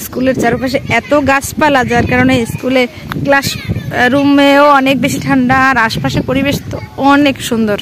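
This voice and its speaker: A woman with a Bangladeshi accent giving casual vlog commentary outdoors and chatting to children as she goes. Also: high voice. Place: outdoors on open grassy ground by a school. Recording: outdoor ambient noise, faint.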